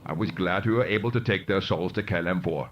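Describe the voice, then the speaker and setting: A man voicing a gruff, contemptuous male thug soldier who sneers and grumbles. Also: sits low, brisk, pitched below the performer's own voice, natural voice. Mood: reminiscing. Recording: dull lo-fi recording.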